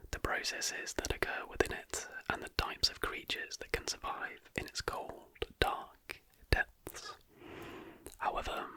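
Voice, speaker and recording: An ASMR host speaking entirely in an unvoiced, breathy whisper, reading aloud and commenting in a soft, deliberate storytelling way. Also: extremely high pitch.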